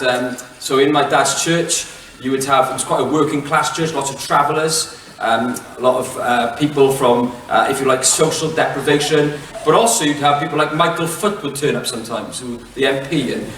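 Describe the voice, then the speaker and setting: A middle-aged Welsh man giving a relaxed public talk, chatty and self-mocking, with plenty of fillers and restarts. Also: mid-range voice, slight background noise. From Wales. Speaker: head teacher giving a talk. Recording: echoey hall, mic from far off.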